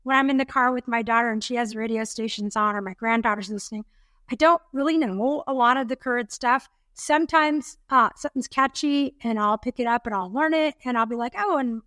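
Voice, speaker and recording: A chatty, encouraging woman in her fifties, co-hosting a casual podcast. Her voice sits high and bright in pitch, recorded close and dry with no room sound. She talks warmly to listeners like a friend. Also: fairly quick, no echo. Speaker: podcast co-host. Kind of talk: casual chat.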